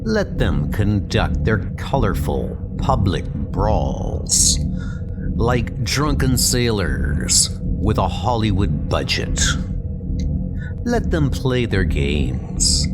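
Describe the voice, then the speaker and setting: Comic dub role: male actor as cold, arrogant criminal mastermind, extremely unhurried and menacing, loud music throughout. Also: on the low side, heavily acted. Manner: measured, haughty, menacing. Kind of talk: comic book dub acting.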